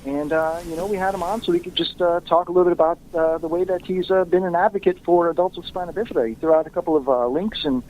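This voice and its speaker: A man's voice: high voice, fast talker, hardly any pauses, darkish tone, poor audio. A man, a radio talk show host appearing as a guest, chatting casually with lots of fillers and frequent laughter. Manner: laid-back, laughs often, many fillers. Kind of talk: casual podcast banter.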